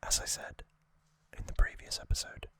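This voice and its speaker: An ASMR host of unclear sex whispering an explanatory recap in short, halting phrases, fully hushed.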